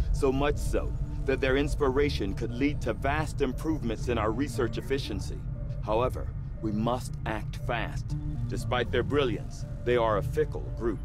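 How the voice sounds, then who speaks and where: A man playing a measured, erudite scientist who briefs formally and precisely in a video game, with music behind. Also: mid-range voice, close to an everyday voice.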